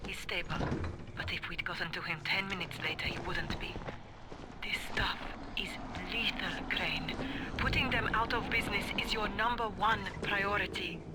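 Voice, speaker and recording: A female medic in a video game, grave and urgent. She reports over a two-way radio. Loud ambient noise surrounds her words. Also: medium pitch, steady tone, moderate pace.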